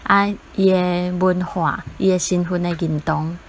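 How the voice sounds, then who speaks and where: A woman's voice: lowish voice, fairly even intonation, measured pace, slight background noise. A woman with a Taiwanese accent, sharing her experience as a parent in a casual interview.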